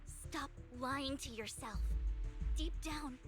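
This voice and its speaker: A woman's voice: high-pitched, takes it slowly. A woman acting an earnest young video game heroine, righteous and pleading as she confronts someone. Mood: firm, righteous. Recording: game music behind the voice.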